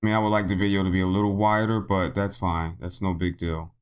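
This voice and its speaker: Male tutorial host, lowish and a little hoarse, informal walkthrough delivery that thinks out loud. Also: little pitch movement, fast talker. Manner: thinks aloud, repeats himself. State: slightly hoarse. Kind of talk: casual unscripted software tutorial narration.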